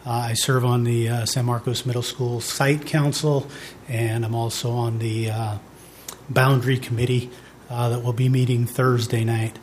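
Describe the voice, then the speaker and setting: A man, a parent, giving a halting public comment at a school board meeting. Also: medium pitch, level tone, a little hiss or hum.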